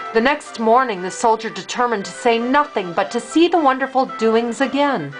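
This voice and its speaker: An older woman reads a fairy tale aloud as a grandmother would to a child. Her voice is high and swings expressively through the sentences. Faint music sits behind the reading. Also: poor audio.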